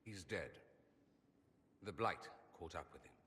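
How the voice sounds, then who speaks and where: An actor playing a vain, witty mage in a fantasy video game, with an arch, sardonic and theatrical delivery. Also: male voice, low-pitched, animated, takes it slowly, sharp tone, big-room sound, exaggerated voice. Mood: subdued grief. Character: witty, vain mage from a fantasy empire, wryly self-aware. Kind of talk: dramatic video game dialogue.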